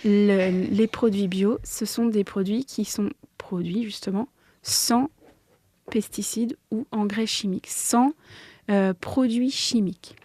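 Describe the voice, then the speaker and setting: A woman's voice: mid-range voice, steady tone, slow pace, mellow. A woman explaining things simply and didactically as a guest in an informal radio interview. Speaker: interview guest, agricultural association organiser. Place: close, dry recording for a radio workshop.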